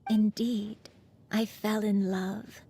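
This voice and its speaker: Gentle, remorseful crane-spirit grandmother. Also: mid-range voice, flat delivery, husky. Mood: tender. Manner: wistful, measured storytelling. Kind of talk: video game voice acting.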